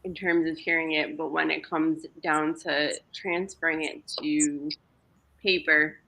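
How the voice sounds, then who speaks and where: Gravelly low-voiced woman in her thirties. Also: flat delivery, sharp tone, low-quality recording. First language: Greek. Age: 30s. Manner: open, laughs easily, halting restarts. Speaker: podcast guest. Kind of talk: casual podcast chat.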